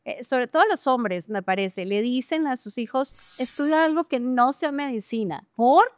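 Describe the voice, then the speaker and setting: A woman's voice: sits high, lively intonation, moderate pace. A middle-aged woman hosting a podcast interview, effusive and enthusiastic. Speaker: podcast host. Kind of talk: interview conversation.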